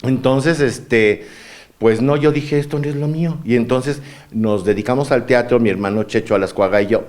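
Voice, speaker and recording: A man's voice: mid-range voice, moderate pace. Campy Mexican man in his fifties. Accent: Mexican Spanish. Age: 50s. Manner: campy, playful and animated. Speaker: guest. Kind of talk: casual chat.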